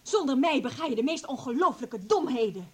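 A high-voiced, domineering woman from a radio drama. She is ambitious and imperious, nagging as she presses her demands. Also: expressive, fairly quick, husky. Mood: sharp insistence. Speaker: actor in a radio play. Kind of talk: Dutch radio drama acting.

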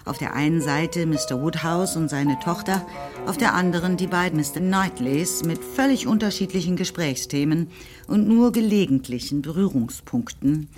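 Low-voiced woman narrating calmly. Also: over music. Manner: measured, composed. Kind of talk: scripted literary narration.

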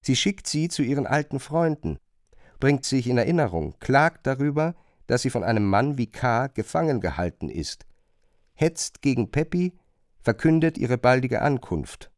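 A man's voice: mid-range voice, big pitch swings, normal speed, dry recording, clean studio sound. A man narrating a radio drama in a scripted, literary style.

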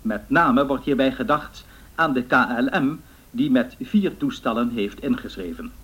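An actor playing a formal, measured 1930s radio newsreader reading scripted bulletins in a radio drama. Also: male voice, medium pitch, animated, fairly bright tone, slightly rough. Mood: formal, grave.

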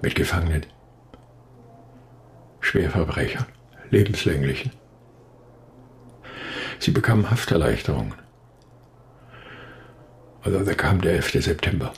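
An actor playing a guarded scientist in a radio drama, hesitant and evasive, speaking in clipped phrases. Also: male voice, sits low, lively intonation, measured pace, long pauses. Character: guarded male scientist confessing uneasily to dark secrets.

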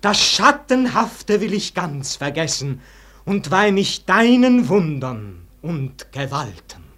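A man's voice: high-pitched, big pitch swings, slow, fairly bright tone, heavily acted. An actor playing a brooding, exalted dying nobleman in a vintage German radio verse drama, declaiming theatrically. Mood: ecstatic surrender. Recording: old radio recording with faint noise.

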